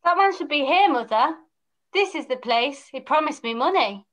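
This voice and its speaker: Woman as pantomime lad, eager, earnest, lovestruck, high clear-edged bright tone, simple line reading. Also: animated, normal speed. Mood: eager, smitten. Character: eager, lovestruck young boy pantomime hero. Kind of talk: amateur pantomime read-through. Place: small room, on a video call.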